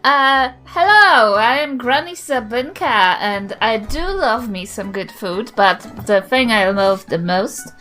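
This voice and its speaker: A woman's voice: on the high side, expressive, slow, hardly any pauses, pushed and bright, faint music behind. A woman in casual chat as a player on a game podcast.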